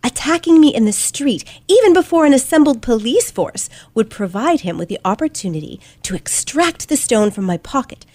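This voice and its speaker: A woman's voice: on the high side, sing-song, moderate pace, hardly any pauses, darkish tone, no echo. Woman doing a confident teen girl sleuth, old timey radio play, very swoopy and theatrical, dry close sound. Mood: triumphant, emphatic. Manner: theatrical, precise, dramatic emphasis. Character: teenage girl detective, confident, sharp, relishing her explanation.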